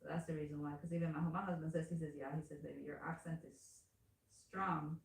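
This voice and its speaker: A woman's voice: deep voice, fast talker, gravelly, recorded from a distance. Chatty woman with a Caribbean accent. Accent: Caribbean English. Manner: animated storyteller, acts out quoted voices. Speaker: nail shop owner. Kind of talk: casual chat while working. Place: nail salon. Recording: echoey room, mic set back.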